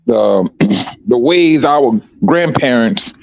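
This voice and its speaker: A man from Chicago talks slowly through his ideas, restarting phrases as he thinks. His voice sits in a middle pitch. The recording is muffled and lo-fi. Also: lively intonation, creaky, no echo. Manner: measured, repeats words as he thinks. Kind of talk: casual reflective chat.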